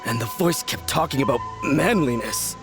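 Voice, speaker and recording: A man's voice: mid-range voice, lively intonation, normal speed, natural voice. A man voicing a weakened young man shaken after being possessed, in a video game. He sounds flustered and self-pitying. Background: game music.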